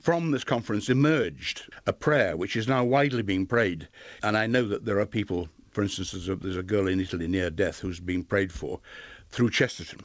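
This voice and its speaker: A man's voice: on the low side, normal speed, no room sound. An older man, talking as an interview guest. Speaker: interview guest, former newspaper editor. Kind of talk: interview talk.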